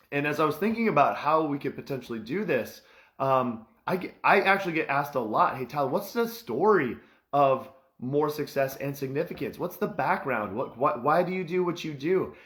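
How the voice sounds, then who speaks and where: Scratchy hoarse guy hosting a podcast, earnest and excited, lots of uh and um. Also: medium pitch. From Midwestern United States. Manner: earnest, enthusiastic, with frequent fillers and restarts. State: hoarse, recovering from lost voice. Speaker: podcast host and mentor. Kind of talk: unscripted casual podcast talk.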